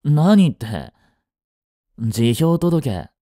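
A man plays a young yandere boyfriend in a romantic audio drama, jealous and possessive. His mellow, intimate voice moves slowly and coaxingly, with wide swings in pitch. He sounds sweetly menacing and controlling. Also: very animated, no echo, studio quality. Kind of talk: Japanese romantic situation voice drama.

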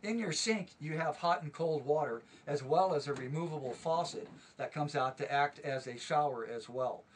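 A man's voice: fairly high pitch, moderate pace, few pauses, distant mic, slight background noise. A man giving a walkthrough tour of a small sailboat cabin, steadily listing its equipment and how it works. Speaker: charter boat staff giving a tour.

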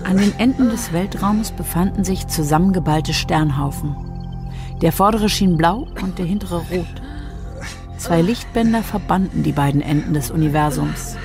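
Woman narrating a radio play, gravelly fry, medium pitch, music behind. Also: normal speed. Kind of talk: scripted radio drama narration.

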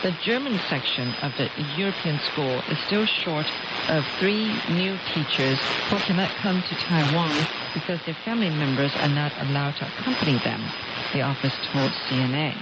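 A woman's voice: low voice, normal speed. A woman radio presenter reading scripted news. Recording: hissy, noisy, dull shortwave radio reception. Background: loud static hiss.

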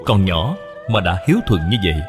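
A man's voice: sits low, sing-song, fairly quick, long reverb tail, over music. A man narrating a scripted children's story.

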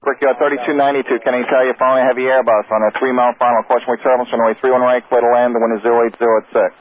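A man working as an air traffic controller, giving clipped, brisk, procedural instructions over radio. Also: mid-range voice, flat delivery, narrow, tinny audio. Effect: radio transmission.

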